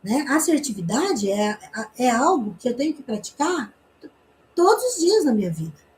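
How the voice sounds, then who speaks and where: A woman's voice: sits high, sing-song, normal speed, a little mellow, poor audio. A woman teaching a communication class, explaining points with rhetorical questions and frequent tag questions. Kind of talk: online class lecture.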